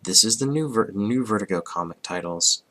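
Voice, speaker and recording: Hoarse man in his thirties, sick with a cold, low and bright-edged voice, rambling comic review. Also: expressive, moderate pace. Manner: rambling, frequent restarts and throat clearing. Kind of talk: casual review.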